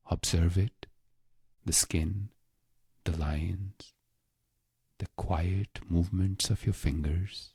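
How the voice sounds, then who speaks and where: Male meditation narrator, unhurried and very breathy, husky, close and dry sound, scripted short phrases. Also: no echo. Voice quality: low, breathy, husky voice with creak. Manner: hushed, unhurried delivery in short phrases with long pauses. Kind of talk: slow, scripted guided meditation.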